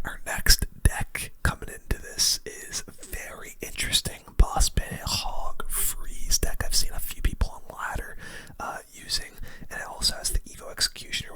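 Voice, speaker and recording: A person giving casual, rambling game commentary in a breathy, unvoiced ASMR whisper, close to the microphone. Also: bright, forceful tone. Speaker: gaming video host.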